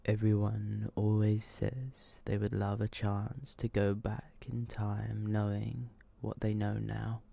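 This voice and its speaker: Male ASMR horror narrator. Dark, mellow, breathy and hushed, very slow and subdued, edging into whisper. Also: lowish voice, little pitch movement. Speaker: ASMR storyteller. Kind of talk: ASMR horror story reading.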